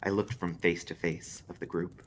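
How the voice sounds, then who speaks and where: A man reading a creepy story aloud as an expressive scripted narration. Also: sits low, normal speed, a little hiss or hum.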